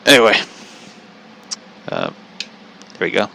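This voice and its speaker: A man's voice: on the low side, wildly swooping pitch, slow pace, vocal fry, sharp tone, a little hiss or hum, rough recording. A man telling stories in a casual, rambling, foul-mouthed way as a podcast host, incredulous and repeating himself for emphasis.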